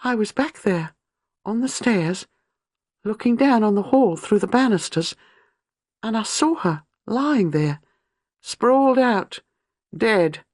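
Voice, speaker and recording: A woman's voice: medium pitch, lively intonation, measured pace, long pauses, darkish tone, dry recording, clean studio sound, voice pushed up. A woman reading an audiobook, voicing a frightened, distraught young woman who talks in breathless, pleading bursts. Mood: terrified. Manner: breathless, pleading, rising panic.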